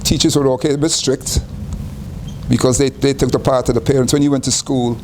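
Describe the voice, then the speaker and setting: A man's voice: mid-range voice, steady tone, a little mellow, slight background noise. Older Guyana-born man recalling his childhood. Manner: unhurried, repeats and restarts phrases. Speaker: interviewee. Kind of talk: reflective life-story interview.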